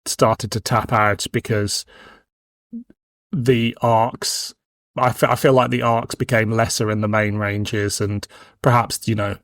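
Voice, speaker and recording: A man's voice: on the low side, no echo, clean studio sound. A man hosting a podcast, chatting casually and reflectively about audio drama in a discursive way. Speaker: podcast host.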